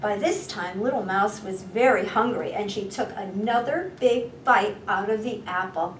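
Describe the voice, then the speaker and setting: A woman's voice: medium pitch, reverberant, far from the mic, a little hiss or hum, poor audio. A woman storytime reader narrating a children's story expressively, drawing out words for suspense. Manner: drawn-out words, gasps for suspense.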